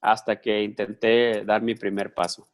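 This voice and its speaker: A man's voice: on the low side, level tone, unhurried. A man working as a live interpreter for a conference speaker, talking conversationally with repeated words and restarts.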